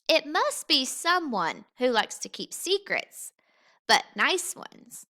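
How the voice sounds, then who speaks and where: An earnest little bear cub from a storybook , voiced by a woman reader. He is polite, curious and wide-eyed, speaking slowly in a bright, raised voice. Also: high-pitched, big pitch swings, pitched above the performer's own voice, exaggerated voice.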